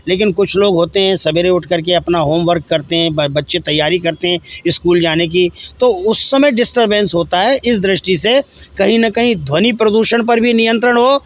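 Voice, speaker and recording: Male religious leader, interview statement. High voice, earnest and exhorting, close dry sound. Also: dry recording.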